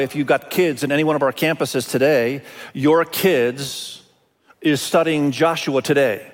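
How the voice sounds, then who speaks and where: Guy preaching a sermon, native indonesian speaker, bit higher pitched, emphatic w/ big pauses, echoing hall. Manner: emphatic, with deliberate pauses and repetition. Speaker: senior pastor preaching. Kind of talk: expository sermon. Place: large hall, speaking to a congregation. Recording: reverberant large hall sound.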